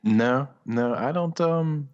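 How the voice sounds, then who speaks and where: A man's voice: mid-range voice, takes it slowly, smooth, muffled recording. A man co-hosting a podcast, chatting casually in a hesitant, offhand, dryly self-deprecating way. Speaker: podcast co-host. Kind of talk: casual chat.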